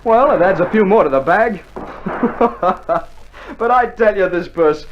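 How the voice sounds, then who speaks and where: A wisecracking Australian soldier in an old radio war play. His delivery is very lively, full of dry slang and excitable joking. The sound is dulled like worn vinyl, with a little noise behind him. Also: high voice, slow pace, highs cut off. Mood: exhilarated. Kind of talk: 1960s radio drama acting.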